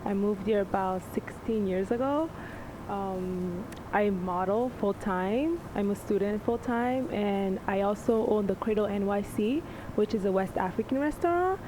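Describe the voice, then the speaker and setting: A woman's voice: mid-range voice, unhurried. Young congolese-born woman rambling casually on a panel, lots of "like"s, noisy background. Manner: relaxed, chatty, lots of likes and ums. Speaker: panel guest. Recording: noisy room.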